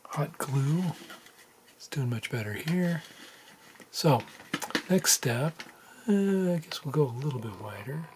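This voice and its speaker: A man's voice: a little high, lively intonation, slow, long pauses, darkish tone. Man narrating hands-on work, noisy background. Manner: informal, thinking aloud while working. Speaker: tutorial host. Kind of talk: casual hands-on soldering tutorial narration. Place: indoors at a workbench. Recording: noticeable room background noise.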